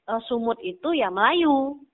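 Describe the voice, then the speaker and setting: A middle-aged woman from Medan talks in a Medan accent, with a slightly high voice. She explains things at length in a chatty way and laughs easily. The sound is thin and tinny, like a phone line. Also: lively intonation, unhurried. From Medan, North Sumatra. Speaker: podcast guest. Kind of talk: casual chat.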